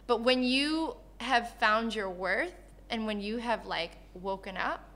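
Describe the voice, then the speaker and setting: Female interview guest, from Malaysia and Canada. Bright, forward voice, candid and conversational, animated storyteller. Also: on the high side, a little slow. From born in Malaysia, grew up in Canada. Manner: animated storyteller, acts out quoted dialogue.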